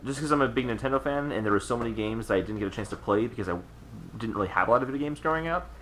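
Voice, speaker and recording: A man's voice: medium pitch, rapid-fire, fairly bright tone, slight background noise. A man chatting casually as a podcast co-host about video games.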